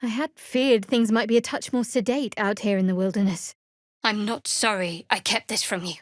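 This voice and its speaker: A woman playing a guarded, sardonic young cleric of a dark goddess in a video game, speaking in a dry, measured, wry way. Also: medium pitch, steady tone, no room sound, lightly acted. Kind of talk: video game character voice acting.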